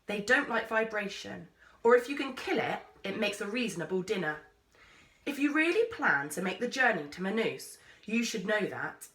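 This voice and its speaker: A woman reads as a gruff male explorer from a storybook. He is abrupt and commands without gentleness, in a bright, animated delivery. The sound is roomy. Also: medium pitch, moderate pace. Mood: brisk, challenging. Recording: roomy echo, distant microphone.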